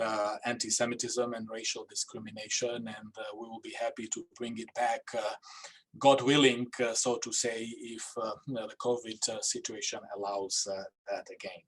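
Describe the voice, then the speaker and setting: A man serving as an ambassador, giving formal remarks over a video call and halting with "uh" before almost every phrase. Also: medium pitch, a bit bright, husky.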